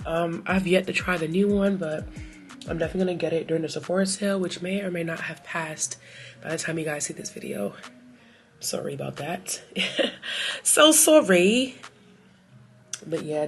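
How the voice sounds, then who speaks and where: An American woman with a cold chatting casually and playfully in slang, with self-deprecating asides, like a beauty vlogger getting ready. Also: fairly low pitch. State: has a cold. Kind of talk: casual get-ready-with-me chat.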